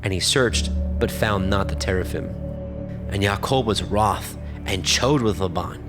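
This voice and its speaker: Guy narrating bible text, lowish voice, formal and measured, music playing behind. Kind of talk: scripted scripture narration.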